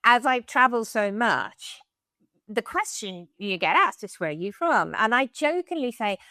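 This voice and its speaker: An Irish woman chats as a podcast guest, bubbly and quick to laugh. Her voice sits fairly high and swoops with lively melody. The sound is dry and close. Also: dry recording. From Ireland. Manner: bubbly and expressive, laughs easily. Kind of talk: casual interview chat.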